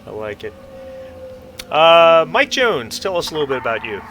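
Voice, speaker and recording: A man's voice: on the high side, big pitch swings, normal speed, a bit bright, slight background noise. A man hosting a tabletop roleplaying game as game master, talking casually in an encouraging, explanatory way.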